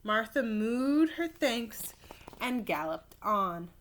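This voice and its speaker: A woman reading a children's story aloud expressively and deliberately, like a teacher addressing young listeners. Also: fairly high pitch, very animated, a little hiss or hum.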